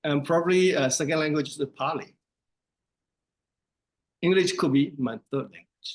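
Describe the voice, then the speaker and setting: A man's voice: fairly high pitch, moderate pace. A man from Myanmar with a Burmese accent, a monk giving a casual teaching talk and repeating phrases for emphasis. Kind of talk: casual dharma talk lesson.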